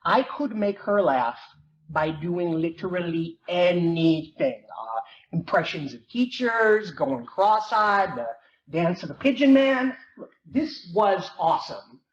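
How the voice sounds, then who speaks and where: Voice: mid-range voice, lively intonation, takes it slowly. A performer reading as an awkward teenage boy who narrates his comic confession in a wry, self-deprecating way. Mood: wry, rueful. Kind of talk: dramatic reading of a first-person novel. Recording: muffled, noisy, echoey room recording.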